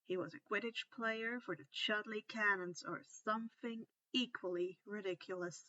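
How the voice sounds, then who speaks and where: A woman's voice: mid-range voice, steady tone, normal speed, pushed and bright, no echo, dull audio. A woman reading a scripted story aloud as its narrator. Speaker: narrator of fan fiction.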